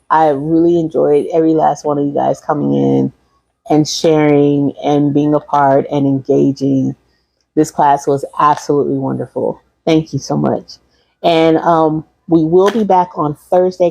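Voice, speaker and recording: A woman's voice: sits low, creaky, mellow, no room sound, rough recording. A woman from Michigan, a holistic practitioner, wrapping up her livestream class in a rambling, repeating way and talking to viewers. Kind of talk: casual livestream class wrap-up.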